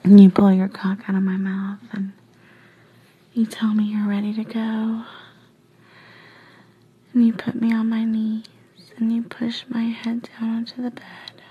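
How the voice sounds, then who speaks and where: A woman narrating intimate, sensual first-person erotic audio for adults in a hushed, breathy whisper. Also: a little high, monotone, dull audio. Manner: hushed, slow, sensual delivery.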